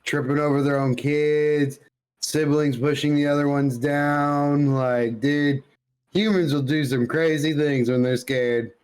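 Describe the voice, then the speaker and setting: A man with a slightly high-pitched voice chats casually as a podcast co-host. He spins comic stories in loose, profane slang, addressing his listener as dude. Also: steady tone, unhurried, a little mellow, clean tone, poor audio. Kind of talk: casual chat, comic storytelling.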